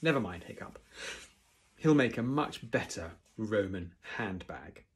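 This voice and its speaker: A man's voice: on the low side, lively intonation, measured pace, husky. A man voicing a sneering, mocking Viking boy bully in a storybook, with a jeering drawl.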